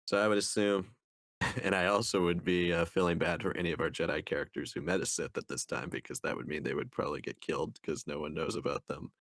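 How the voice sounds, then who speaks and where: A man with a very deep voice in casual co-host conversation. The sound is dry and free of room echo, and he talks as a reviewer sharing his take. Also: fairly even intonation, fast talker, a bit bright. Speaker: podcast co-host. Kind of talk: casual discussion and book review.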